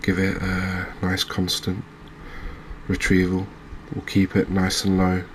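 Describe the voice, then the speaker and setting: A man giving soft-spoken, gentle, unhurried gameplay commentary in an ASMR style. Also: low voice, completely flat delivery, a little hiss or hum. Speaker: host of a video game channel.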